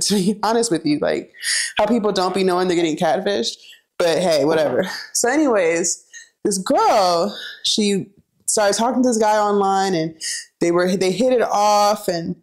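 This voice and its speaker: A young woman talks as she cooks, gossiping casually and throwing in lots of filler words. She drifts between telling her story and narrating each step in the kitchen. Her voice sits at an ordinary middle pitch for a woman. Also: a little mellow. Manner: chatty, gossipy, frequent "like" and "you know", laughs while talking; cooks while talking, narrating kitchen steps. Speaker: vlogger cooking and storytelling. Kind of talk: casual chatty storytelling while cooking.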